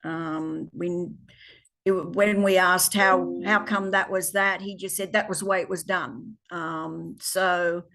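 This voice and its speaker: Woman, 70s, Australian accent, gravelly voice, hesitant, reflective interviewee. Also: on the low side, steady tone, slow, fairly bright tone. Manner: hesitant, many ums, reflective. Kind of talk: oral history interview.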